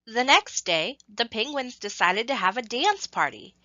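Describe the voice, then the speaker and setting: A woman reading a scripted story to children, with expressive, measured phrasing and emphasis on action words. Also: on the high side, no room sound, lo-fi sound.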